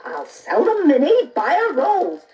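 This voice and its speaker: A woman's voice: sits high, wildly swooping pitch, slow, warm tone, far from the mic, muffled recording, heavily acted. A woman voicing an exasperated storybook mother who scolds her son shrilly. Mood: greedy glee.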